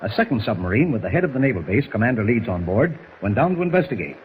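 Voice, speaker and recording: An actor playing a brisk, gruff, decisive newspaper editor in 1940s radio drama style, brusque and emphatic, on a thin, hissy old recording. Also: male voice, mid-range voice, sing-song, narrow, tinny audio, natural voice.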